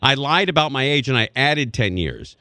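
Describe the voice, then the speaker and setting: A man in his forties hosting a radio talk show, jokey and animated as he chats with a guest. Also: medium pitch, moderate pace, no echo, clean studio sound. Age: 40s. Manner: jokey, animated, laughs along. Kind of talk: casual radio chat. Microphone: studio microphone.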